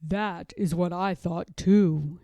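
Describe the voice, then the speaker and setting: A woman plays a gentle old grandfather bear in a picture book. He talks slowly and emphatically, in a mellow, close and dry tone, fond and content. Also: fairly low pitch, fairly even intonation, no echo, muffled recording. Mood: fond, steady. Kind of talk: children's storybook character voice.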